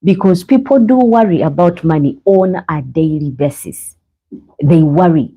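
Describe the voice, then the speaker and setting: Uma mulher do Uganda, com sotaque ugandense, apresentando um podcast cristão ao vivo, em tom sério e pregador, falando diretamente aos ouvintes. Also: female voice, sits low, expressive, measured pace, breathy edge, narrow, tinny audio. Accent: Ugandan English. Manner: earnest, preachy, addresses viewers as guys. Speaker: podcast host. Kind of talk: live faith podcast monologue. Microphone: own microphone, sometimes adjusted.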